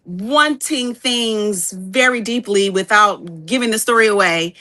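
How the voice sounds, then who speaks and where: A woman's voice: medium pitch, unhurried, pushed and bright, no echo. A woman, a reverend and actress, giving articulate, emphatic answers in an interview. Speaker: ordained reverend and actress, guest.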